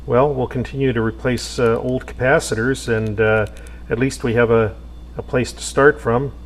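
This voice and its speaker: A man restoring an old radio, talking casually to himself with lots of pauses and fillers. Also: medium pitch, a little hiss or hum. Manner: relaxed, thinking aloud with many fillers. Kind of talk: casual workshop narration.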